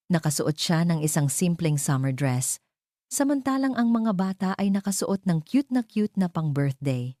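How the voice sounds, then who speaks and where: A woman narrates a scripted love story with dramatic phrasing. Her voice sits very low and has a dark, mellow tone. The recording is dry and close, with no room sound. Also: no room sound.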